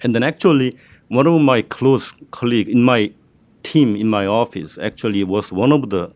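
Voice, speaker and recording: A man's voice: mid-range voice, normal speed, a little mellow, no room sound. A middle-aged man with a Korean accent, telling his career story on a student panel, hesitant and full of fillers and restarts. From South Korea.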